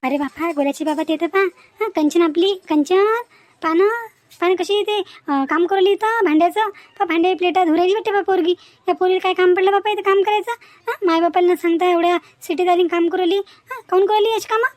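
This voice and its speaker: Woman doing nosy village wife, vidarbha marathi accent, very high fast voice, gossipy and naggy, cartoon. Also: no room sound. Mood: shocked, gossipy. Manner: gossipy, exclamatory. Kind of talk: animated moral story dialogue.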